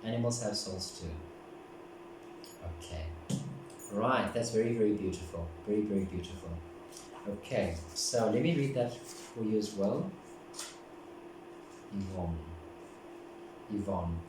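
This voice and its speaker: A man from Africa, giving a casual, gentle, meandering tarot reading and often repeating himself. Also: on the low side, a little slow, long pauses. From South Africa. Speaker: tarot card reader. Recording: very echoey room, distant mic, background noise.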